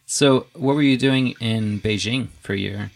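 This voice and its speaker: A young man interviewing a guest in a casual, relaxed way, often restarting his sentences and chuckling. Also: mid-range voice, unhurried, vocal fry.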